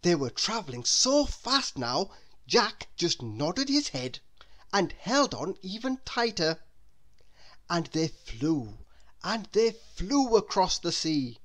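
A woman reading a children's story aloud in a deliberate, expressive way, as if to a young listener. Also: on the low side. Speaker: storybook reader. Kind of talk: scripted children's story narration.

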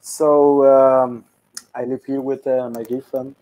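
A man in his twenties from Guatemala, a native Spanish speaker, answering interview questions over a video call in halting English full of fillers. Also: medium pitch, level tone, phone-quality sound. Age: 20s. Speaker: guest DJ. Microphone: headset on a video call.

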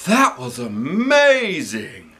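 A man's voice: a little high, wildly swooping pitch, very slow, bright, forceful tone, pitched above the performer's own voice, full character voice. A man voicing an enthusiastic, firm male school principal from a children's storybook, in an emphatic announcing manner.

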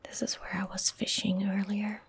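A woman in her twenties giving calm, gentle ASMR game commentary in a breathy whisper, close to the microphone. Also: mid-range voice, moderate pace, a little hiss or hum. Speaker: streamer narrating her gameplay. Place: indoor room at a gaming desk. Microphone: close desk microphone.

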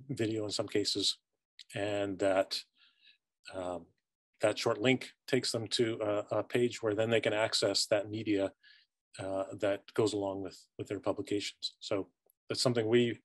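A middle-aged man giving a careful, halting answer as a panelist on a video call from his office. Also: lowish voice, no room sound. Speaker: panelist from a university media collections service. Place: office with bookshelves, indoors. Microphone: video call computer mic.

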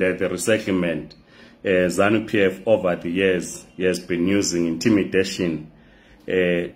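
A hoarse man speaks in a very low voice, straight into a close phone camera in a bright room. He addresses his viewers at a measured pace, filling pauses with "uh" as he discusses the issues. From Zimbabwe. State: hoarse from a flu. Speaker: political talk show host. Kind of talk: direct-to-camera political address.

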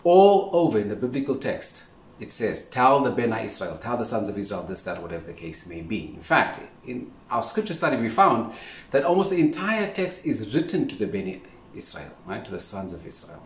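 A man's voice: on the low side, sing-song, fairly quick. A man in his forties from Zimbabwe gives a casual, earnest religious talk to a camera in his home kitchen and keeps checking in with "right?". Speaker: faith teacher. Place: indoors in a home kitchen with a large window. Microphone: camera at a few steps' distance. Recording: roomy, distant sound with faint hiss.

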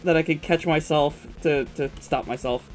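A man with a somewhat high voice and a noticeable stutter talks in loose, profane, rambling chat. He pokes fun at himself as he goes. Soft music plays quietly underneath. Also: fairly even intonation, moderate pace. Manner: profane, self-deprecating, rambling. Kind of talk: casual chat on a live art stream.

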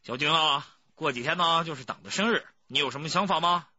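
一名男演员在广播剧里模仿一位骄傲、说话拿腔拿调、旁敲侧击的年长父亲。. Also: male voice, on the high side, a little fast, sharp tone, no room sound, dull audio. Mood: pointed, pompous. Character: proud older father, gently prodding his son. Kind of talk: radio drama acting.

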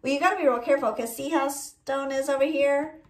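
A woman's voice: high-pitched, steady tone, fairly quick. A woman in her forties hosting a children's story time like a teacher, reading aloud, explaining simply and asking questions. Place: small indoor room with bookshelves.

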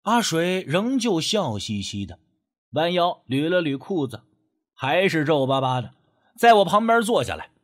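A man's voice: on the high side, sing-song, unhurried, fairly bright tone. A man narrating a first-person story as an audiobook narrator, in a dramatic storytelling style.

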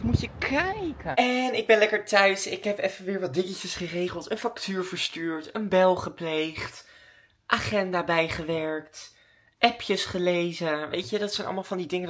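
A woman's voice: fairly low pitch, slightly rough. A woman chatting casually and informally to her vlog audience, thinking aloud as she goes. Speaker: daily vlogger and dancer.

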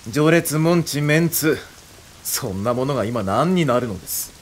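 An actor playing a proud, intelligent young samurai in a Japanese game drama, speaking in a formal, measured way. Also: male voice, medium pitch, lively intonation, natural voice. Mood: assertive.

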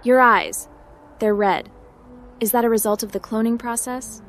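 A woman's voice: fairly high pitch, normal speed, fairly bright tone, a little hiss or hum. A woman playing a young hacker captain in a video game roleplay, direct and inquisitive.

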